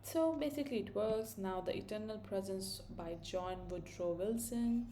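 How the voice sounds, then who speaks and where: A woman's voice: medium pitch, moderate pace, mellow, a little hiss or hum. A young woman from India with an Indian accent, casually thinking aloud as she walks through a coding tutorial, with fillers and self-corrections. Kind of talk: screen-recorded coding tutorial.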